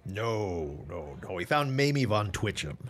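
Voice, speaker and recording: An actor playing a genial, chatty gentleman guest in a period audio drama, wordy and polite. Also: lowish voice, sing-song, measured pace, full character voice.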